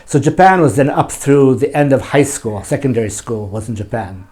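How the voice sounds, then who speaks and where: A man who directs a church's overseas mission, calmly answering interview questions in a measured way with some hesitations. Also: mid-range voice.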